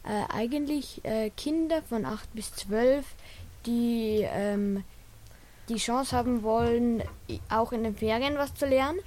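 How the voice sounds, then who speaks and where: Hesitant, high, mellow female interviewee. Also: takes it slowly. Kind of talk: informal, hesitant explanation.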